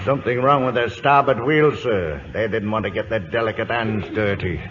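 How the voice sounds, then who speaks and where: A man's voice: on the low side, normal speed, fairly bright tone, close to an everyday voice. A man acting a loyal, stubborn, plain-spoken British sailor in a radio drama, deferential to his officers. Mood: plain, concerned. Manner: deferential yet stubborn, folksy. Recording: noisy lo-fi old radio recording.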